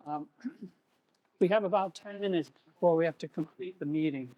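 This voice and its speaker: Man acting a courteous, higher-voiced school official. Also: dry recording, lo-fi sound, lightly acted. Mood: polite, formal. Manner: courteous, measured, formal. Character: polite, mediating male school official. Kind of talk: stage play acting.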